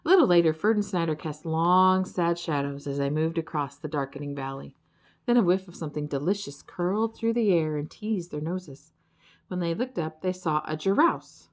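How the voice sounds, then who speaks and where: A woman narrating a children's story in an expressive, scripted storytelling style. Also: fairly low pitch, moderate pace. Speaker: audiobook narrator.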